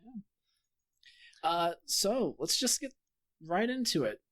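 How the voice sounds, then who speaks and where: A man's voice: high-pitched, big pitch swings, slow pace, fairly bright tone. A man hosting a podcast in a casual, informal chat style with some swearing. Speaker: podcast host.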